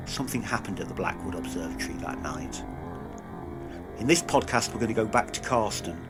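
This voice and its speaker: Male true-crime host, fairly deep, grave dramatic scripted read over light music. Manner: solemn, dramatic. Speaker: podcast narrator and investigator.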